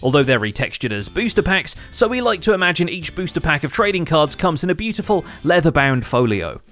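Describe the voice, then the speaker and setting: A man's voice: fairly high pitch, sing-song, fairly quick, a bit bright, soft background music. A man hosting a gaming recap show, reading scripted narration in a wry manner.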